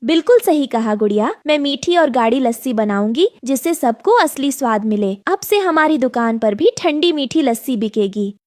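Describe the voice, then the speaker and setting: Woman playing a mother bird, fairly high pitch, kind, sensible, cartoon, dry sound. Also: lively intonation, moderate pace, hardly any pauses, no echo. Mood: resolved.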